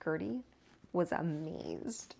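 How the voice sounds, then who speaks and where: A woman reading a children's picture book aloud as a storytime host, with expressive, drawn-out emphasis. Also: on the low side, gravelly, a little hiss or hum.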